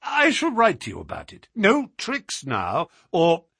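A man's voice: high-pitched, huge pitch swings, a little slow, gravelly, a bit bright, breathy edge, no echo, studio quality, pitched above the performer's own voice, exaggerated voice. A man voicing a self-assured, courteous but commanding detective in a narrated mystery story.

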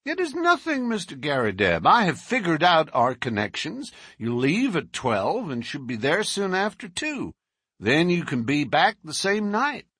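A man's voice: a little high, huge pitch swings, slightly rough, no echo, studio quality, voice pushed up, exaggerated voice. A man voicing a brash American lawyer who pushes hard and talks in a hurry. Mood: pushy. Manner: forceful, hurried. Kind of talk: audiobook character reading.

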